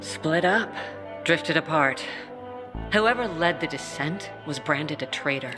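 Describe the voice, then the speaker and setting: An actor playing a tough peacekeeper commander who speaks in a gruff, curt way. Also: mid-range voice, expressive, takes it slowly, music in the background.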